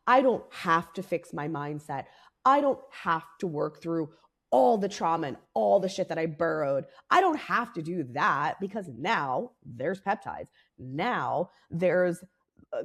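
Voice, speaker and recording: A woman co-hosting a podcast in casual, candid talk, her voice raspy and worn, growing fired up and swearing freely as she makes her case. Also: lowish voice. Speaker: podcast co-host.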